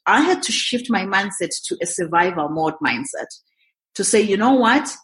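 A woman from Zimbabwe, a guest on an interview podcast, telling her story in a lively way and acting out her own past thoughts. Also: mid-range voice, moderate pace. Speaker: guest, real estate investor. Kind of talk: interview answers.

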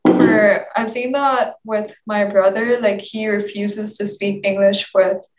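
A woman's voice: mid-range voice, fairly even intonation, slow. A young woman leading a casual group discussion, talking in a chatty way and saying "like" a lot. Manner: chatty, heavy use of "like". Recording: echoey room, distant mic, faint noise.

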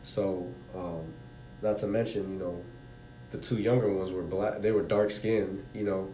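A man's voice: fairly even intonation, a little slow, mellow. A man in a casual talk, rambling with many fillers such as you know. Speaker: conversation participant. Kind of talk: casual conversation. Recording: roomy with faint rumble.

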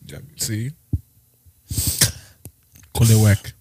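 A man co-hosting a podcast, teasing his co-host in an animated, joking way. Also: on the low side, drawn-out words, breathy edge, no room sound. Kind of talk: casual chat.

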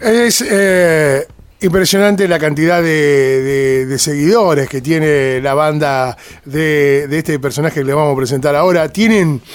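High-voiced Argentine man hosting radio. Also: measured pace. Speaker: radio interviewer, host. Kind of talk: casual radio interview. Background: music.

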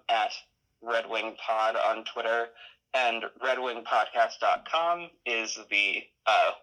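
Male podcast guest, bright mid-range voice, conversational, hesitant, modest delivery. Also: medium pitch, poor audio. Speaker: podcast guest, audio drama creator. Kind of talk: casual interview chat.

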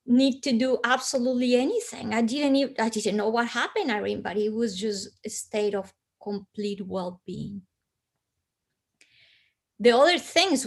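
A woman with a Colombian accent, a guest earnestly telling a personal story with pauses and restarts. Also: fairly high pitch.

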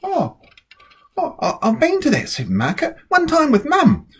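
A woman's voice: high voice, wildly swooping pitch, moderate pace, voice pushed up. Woman as a stubborn little girl, wildly swooping. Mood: coaxed, eager. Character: little girl Lola, stubborn fussy eater. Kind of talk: storybook character voice.

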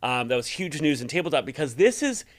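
A man co-hosting a tabletop gaming news show, talking through the news conversationally with halting phrasing and repeated words. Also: on the high side, big pitch swings.

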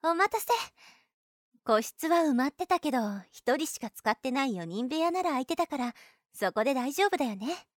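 A woman's voice: high voice, animated, normal speed, no echo, studio quality. A woman playing a caring, insistent, overprotective older sister in a Japanese audio drama. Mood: caring, brisk. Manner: gentle, fussing, attentive. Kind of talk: Japanese situation voice drama acting.

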